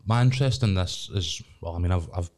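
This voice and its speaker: A man's voice: low voice, a little fast, a little mellow. A Scottish man hosting a podcast, chatting loosely with lots of ums and restarts. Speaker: podcast host. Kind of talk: casual chat.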